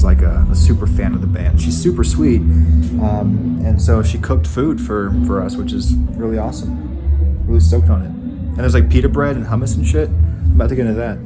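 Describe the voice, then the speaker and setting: Young man, low-ish voice, slightly hoarse, loose rambling vlog delivery on a phone mic, loud music over him. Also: low-quality recording. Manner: laid-back, rambling, frequent "uh" and "like". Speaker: touring band crew member. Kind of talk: casual tour vlog. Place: outdoors by a tour bus and venue.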